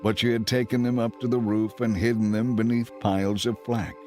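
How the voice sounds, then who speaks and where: A man giving measured, solemn scripted narration for a dramatized audio Bible. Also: fairly low pitch, little pitch movement, dark tone, soft background music.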